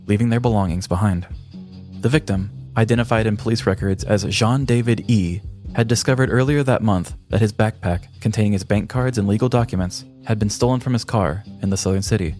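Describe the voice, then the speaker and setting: A man narrating a scripted story as a podcast host, in a measured, dramatic manner. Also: on the low side, darkish tone. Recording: music behind the voice. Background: background music.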